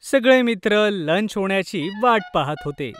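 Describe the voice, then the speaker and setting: Male narrator, high register, scripted kids' story, music bed. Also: animated, unhurried, fairly bright tone, clean tone.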